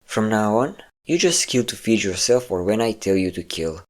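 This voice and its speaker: A man performs a possessive vampire lord with a deep male voice. He is mocking and smug, lingering on pet names as he teases. The delivery is intimate, in the manner of an audio roleplay about an obsessive lover. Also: expressive, natural voice. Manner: smug, teasing, drawn-out endearments.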